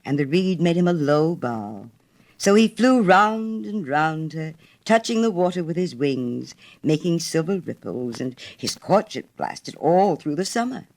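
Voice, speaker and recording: A woman reads a storybook as a dramatic narrator. Her delivery is theatrical and expressive, and her pitch moves in wide, animated sweeps. The register sits low for a woman. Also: unhurried. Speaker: audiobook narrator.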